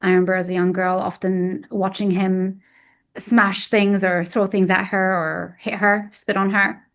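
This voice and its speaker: A woman's voice: medium pitch, flat delivery, a little slow. Arabic-speaking woman recounting her past. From Egypt. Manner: open and reflective, tells painful memories plainly. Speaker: guest, weight loss coach. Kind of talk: candid personal storytelling.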